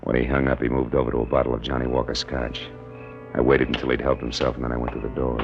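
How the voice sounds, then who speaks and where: A man's voice: rumbling low, level tone, quick pace, gravelly. An American man playing a wry private detective in a 1940s radio drama, with dry, clipped, sardonic delivery. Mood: matter-of-fact narrating. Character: wry, hard-boiled male private detective. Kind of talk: 1940s radio detective drama acting and first-person narration. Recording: dull vintage radio recording, faint music.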